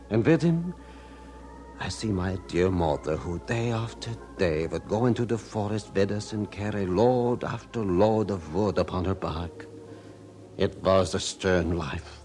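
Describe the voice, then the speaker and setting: A man's voice: low-pitched, darkish tone. A man acting as a grown man recalling his hard childhood, in solemn, reflective scripted narration for a drama. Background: faint music.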